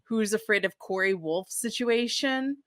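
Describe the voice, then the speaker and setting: A woman co-hosting a podcast, chatting casually and often halting to correct herself. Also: a little high, a bit bright, dry recording, clean studio sound. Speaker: podcast co-host. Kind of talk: casual chat about a TV episode.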